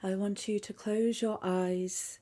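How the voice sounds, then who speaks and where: A woman leading a guided meditation in a soft, calm voice that often drops to a near-whisper. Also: medium pitch, takes it slowly. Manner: soft, calm, hushed, half-whispered at times.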